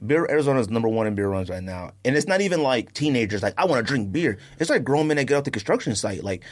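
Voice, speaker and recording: Animated American man, slangy and lively. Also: medium pitch, fast talker. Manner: animated, slangy storytelling, often chuckling. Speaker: podcast co-host. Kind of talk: casual chat with anecdotes.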